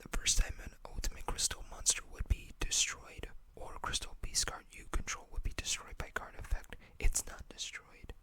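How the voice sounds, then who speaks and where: An ASMR host whispering in an unhurried way, reading text aloud and adding small asides. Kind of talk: whispered ASMR card showcase.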